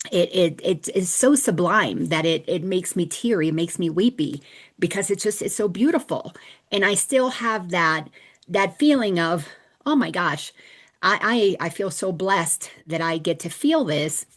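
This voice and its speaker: A middle-aged woman from the Dominican Republic hosting a casual spiritual teaching talk, chatty and storytelling, often restarting her sentences. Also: lowish voice. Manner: chatty, warm-hearted storytelling with frequent restarts.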